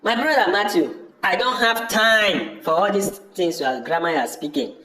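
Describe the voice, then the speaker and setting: A man's voice: high-pitched, moderate pace, bright, forceful tone. A man with a Nigerian accent playing a stubborn, fed-up village brother in an amateur audio drama, dismissive and insistently repeating himself. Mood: defiant. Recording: echoey room.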